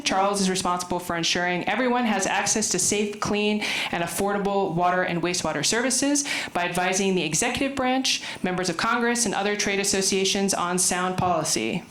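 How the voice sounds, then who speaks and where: A woman moderating a conference panel, lively and playful, with a loud voice. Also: mid-range voice, fairly quick, few pauses. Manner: lively, playful, talks loudly. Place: conference room. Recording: roomy echo, faint room noise.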